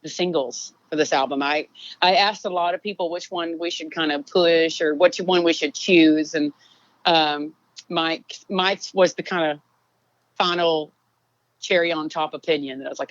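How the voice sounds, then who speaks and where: Low-voiced Texan woman, dry and dull. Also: normal speed, dry recording. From Texas. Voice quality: low singing voice. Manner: relaxed, rambling with many fillers. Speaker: songwriter guest. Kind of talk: casual interview chat.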